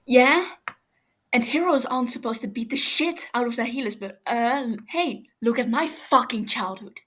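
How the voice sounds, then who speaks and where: A woman's voice: fairly high pitch, moderate pace, a bit bright, husky, voice pushed up. A woman voicing a brash, volatile young man with a snappy, sweary manner in a story reading. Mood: angry. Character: brash, volatile young male healer with a temper.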